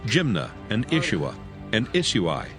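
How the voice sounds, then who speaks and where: A man's voice: fairly low pitch, huge pitch swings, measured pace, music in the background. A man narrating scripture from a script as a formal storyteller.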